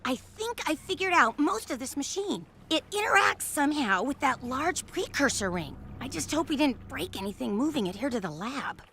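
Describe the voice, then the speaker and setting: An actress playing a curious young mechanic in a video game cutscene, brisk and analytical. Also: female voice, sits high, big pitch swings, fairly bright tone, slight background noise, heavily acted.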